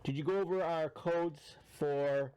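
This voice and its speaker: A man's voice: a little high, fairly even intonation, unhurried, warm tone, clear, resonant tone, highs cut off. A man co-hosting a casual podcast, chatting in an easygoing way and plugging sponsor codes. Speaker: podcast co-host. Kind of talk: casual live podcast chat. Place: cabin.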